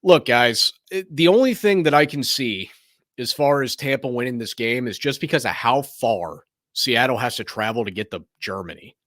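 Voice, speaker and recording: Slightly hoarse man giving sports takes. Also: medium pitch, lively intonation, moderate pace, a bit bright, no room sound, studio quality. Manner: rambling and opinionated, frequent restarts. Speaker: sports show host. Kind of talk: casual opinionated sports commentary.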